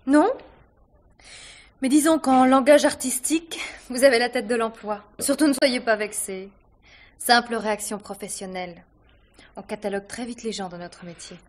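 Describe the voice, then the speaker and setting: An actress playing a self-possessed, sardonic theatre star under suspicion in a 1950s-style French radio mystery, with a dry, poised delivery. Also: female voice, a little high, moderate pace, close to an everyday voice. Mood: cool, teasing. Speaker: radio drama actor.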